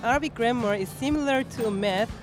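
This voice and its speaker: Young Korean-accented woman guest, careful phrasing, live booth with background music. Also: fairly high pitch. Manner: careful, measured speech with frequent hesitations. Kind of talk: casual interview at a book fair. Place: busy fair hall.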